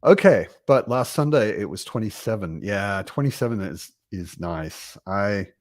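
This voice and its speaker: A man, born in America and raised in Australia, hosting a casual home livestream about books, rambling and relaxed with many ums and restarts while he answers viewer comments. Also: on the low side, a little slow, dry recording, studio quality. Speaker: book livestream host. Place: home, in Berlin.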